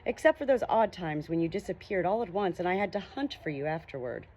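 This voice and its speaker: A woman's voice: on the low side, expressive, darkish tone. Lady reading as a creepy cocky guy ghost, drags it out all dramatic and condescending, audiobook style. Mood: smug, taunting. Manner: condescending, drawn-out, dramatic delivery. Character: creepy, smug, theatrical male ghost named Eli.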